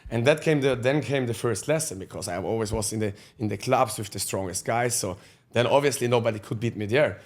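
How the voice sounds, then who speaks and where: A man's voice: mid-range voice, fairly quick. A man. He is a podcast guest telling his life story candidly in blunt, profane English with loose grammar. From Austria. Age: 30s. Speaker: guest, fight coach.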